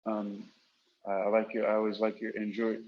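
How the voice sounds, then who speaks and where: A man with a lowish voice makes easygoing small talk, often doubling back on his own words. The sound is a little muffled and lo-fi. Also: monotone, moderate pace. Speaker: podcast moderator. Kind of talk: casual chat.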